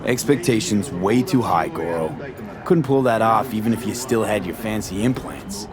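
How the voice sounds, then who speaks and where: An actor playing a cocky young street mercenary in a video game, with an American accent and a clipped, slangy, wry delivery. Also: male voice, lowish voice, normal speed, a crowd in the background, lightly acted. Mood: skeptical. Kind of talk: video game cutscene acting.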